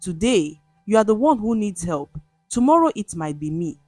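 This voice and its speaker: A woman's voice: medium pitch, big pitch swings, moderate pace, mellow, no echo, faint music behind. A woman voicing a kind, proud young fishing woman in a storybook tale. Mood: earnest.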